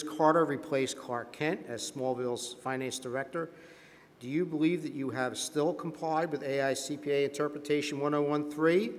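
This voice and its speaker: A man playing a formal, probing board member questioning a witness in a staged hearing, measured and deliberate. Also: mid-range voice, a little mellow, a little hiss or hum, close to an everyday voice. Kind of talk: scripted dramatization of a hearing. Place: large meeting hall with sound system. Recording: long reverb of a large hall.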